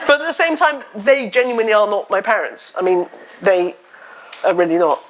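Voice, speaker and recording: A loud-voiced woman novelist chatting on a festival stage, her speech running on in quick stories and wry asides. She talks into a stage mic before an audience and pitches her voice at a middling level, neither high nor low. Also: low-quality recording. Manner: chatty, animated storytelling with self-deprecating humour. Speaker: novelist guest. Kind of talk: live on-stage literary chat. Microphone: stage microphone.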